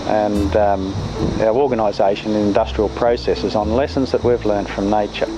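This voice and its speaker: A man with a fairly low voice explains ideas as an expert interviewee. Music is clearly audible behind his speech in this documentary interview. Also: level tone, normal speed, low-quality recording. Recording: music laid over the interview.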